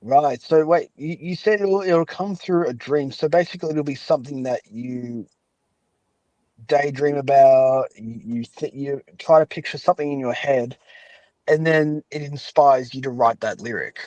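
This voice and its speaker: A man's voice: medium pitch, moderate pace, darkish tone. A man hosting a casual podcast interview, chatty and often echoing back what his guest has said. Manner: chatty, rephrases and echoes guest's answers.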